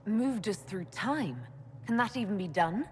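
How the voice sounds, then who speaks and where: A woman's voice: medium pitch, animated, unhurried, a little hiss or hum, lightly acted. Woman playing a composed, direct leader, echoing hall. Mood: puzzled. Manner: assured, direct. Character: firm, composed young female leader, blunt and challenging. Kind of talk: dramatic video game voice acting. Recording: long hall reverb, music underneath.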